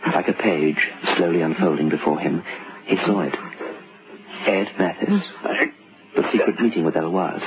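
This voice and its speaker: An actor playing a grave male storyteller, narrating an old-time radio suspense tale with dramatic, deliberate phrasing. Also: on the low side, natural voice. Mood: ominous. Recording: lo-fi old radio recording with music.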